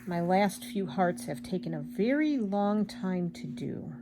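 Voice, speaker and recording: An older woman chatting casually and rambling as she embroiders at home, self-deprecating, with a loud projecting voice. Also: mid-range voice, expressive, measured pace, noisy background. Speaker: craft vlogger. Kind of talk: casual chat while embroidering.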